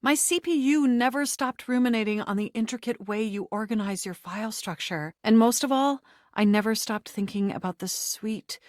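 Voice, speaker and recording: A dry, studio-close recording of a nerdy, flirtatious computer character played by a woman in a dating game. The voice sits at a medium pitch, and the delivery is theatrical and formal, with a romantic streak. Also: a little mellow, no room sound, close to an everyday voice. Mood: adoring, seductive. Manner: flirtatious, theatrical, formal tech-laden phrasing. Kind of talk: video game character voice acting.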